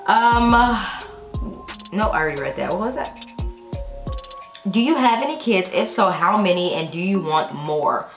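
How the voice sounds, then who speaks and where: An American woman in her thirties with a fairly low voice talks casually to camera. She is chatty and conversational, tossing in playfully emphatic asides. The room echoes clearly and music plays behind her. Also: lively intonation, unhurried, long pauses. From Texas, United States. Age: 30s. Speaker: YouTube vlogger. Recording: roomy echo with music behind. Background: background music.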